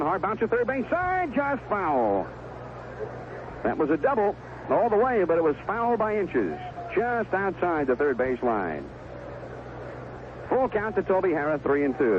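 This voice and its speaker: A man's voice: on the high side, phone-quality sound, noisy background. A man calling a baseball game play by play on a 1970s radio broadcast. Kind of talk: live radio baseball commentary.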